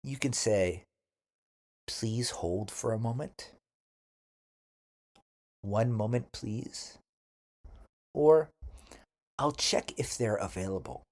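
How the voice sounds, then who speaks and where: Male language instructor, husky voice, slow and deliberate delivery with careful enunciation for learners. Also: fairly low pitch, darkish tone. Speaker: English teacher. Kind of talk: scripted language lesson with model phrases to repeat.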